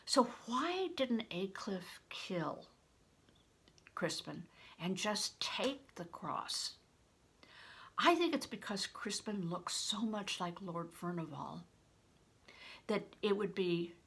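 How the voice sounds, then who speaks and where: An older woman reads a story aloud as a grandmother would. She goes slowly and deliberately, one phrase at a time. Her voice is bright and forward, with a creaky grain. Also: medium pitch. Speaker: grandmother reading aloud, retired reading teacher. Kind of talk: storybook narration with plain spoken asides.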